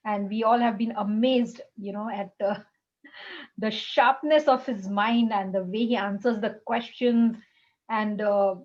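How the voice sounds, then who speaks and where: A woman hosting a chat show, talking in a halting way with many fillers as she introduces her guest. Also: mid-range voice, lo-fi sound. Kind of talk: casual interview chat.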